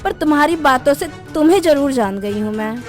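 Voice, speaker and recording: Woman playing an indignant high-voiced young stranger. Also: lively intonation, a little fast, faint music behind. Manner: indignant, cutting.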